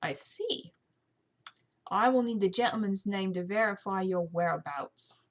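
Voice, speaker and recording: Woman voicing a brisk, skeptical English police officer. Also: mid-range voice, close to an everyday voice. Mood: businesslike. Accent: British (put on). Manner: formal, inquisitive.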